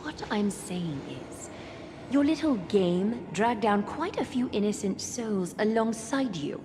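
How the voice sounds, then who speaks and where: A woman voicing a composed, regal leader in a video game, with formal, measured and authoritative delivery. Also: mid-range voice, lively intonation, background noise, natural voice. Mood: stern, weary.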